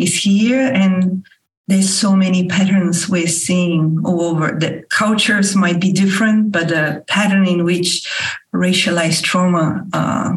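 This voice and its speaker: A woman co-hosting a podcast, asking long, searching questions with many pauses and fillers. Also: lowish voice, rough recording. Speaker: podcast co-host and filmmaker. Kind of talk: interview questions, conversational.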